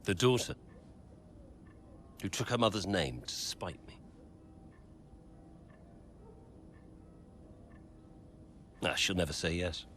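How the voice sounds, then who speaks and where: A man's voice: low voice, lively intonation, moderate pace, a bit bright, a little hiss or hum. An actor playing a cold, controlling wealthy businessman and father, curt and dismissive, in a video game. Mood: bitter, dismissive.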